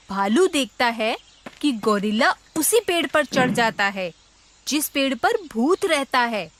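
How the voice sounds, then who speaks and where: Slow, high-pitched woman storyteller. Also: a bit bright, slight background noise. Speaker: narrator. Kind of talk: scripted children's story narration.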